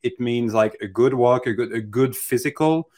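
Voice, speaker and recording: A man giving unscripted advice as a mentor in a Q&A, speaking in a hesitant, halting way as he thinks aloud. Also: mid-range voice, darkish tone.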